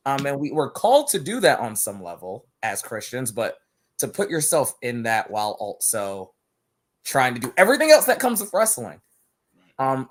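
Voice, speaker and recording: A man from Barbados, a wrestler guest on a podcast, talking casually and telling stories with lots of laughter and repeated words. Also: medium pitch. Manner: animated storytelling, laughing often, repeats words.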